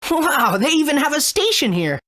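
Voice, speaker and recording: A woman's voice: high-pitched, sing-song, moderate pace, fairly bright tone. A woman voicing an earnest, eager young boy hero in a video game. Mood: curious. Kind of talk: video game character acting and narration.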